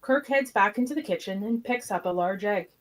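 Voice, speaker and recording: A woman's voice: medium pitch, a little fast. A woman reading stage directions as narrator in a plain, matter-of-fact way. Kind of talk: scripted narration of a live script read.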